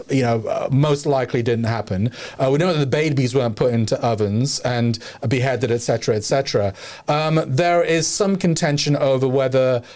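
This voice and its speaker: A man's voice: mid-range voice, a little mellow. A man co-hosting a discussion podcast, making his case in an earnest, argumentative way, thinking aloud with many fillers. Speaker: podcast co-host.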